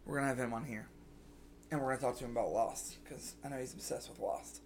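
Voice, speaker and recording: A man chatting casually as a podcast co-host, his voice rough and gravelly because he has been sick. He rambles, circles back and restarts his sentences. A faint hum of noise sits under the talk. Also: mid-range voice, fairly even intonation, fast. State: scratchy voice from being sick. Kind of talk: casual chat.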